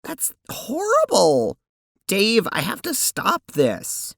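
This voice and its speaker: A woman acts out an anguished, blunt teenage sprite girl in an audio drama. Her voice swings dramatically in pitch and often drags slowly into a gravelly creak. She speaks haltingly and with open emotion, recorded close and dry. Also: wildly swooping pitch, no echo, full character voice. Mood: distressed, miserable.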